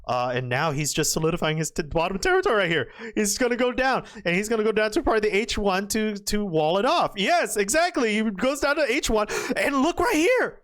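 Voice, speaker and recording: Excitable, slightly hoarse male go commentator. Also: high-pitched, fairly quick, hardly any pauses. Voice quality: excitable, exclamatory, trails off and restarts. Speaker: go game commentator. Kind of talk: excitable live game commentary.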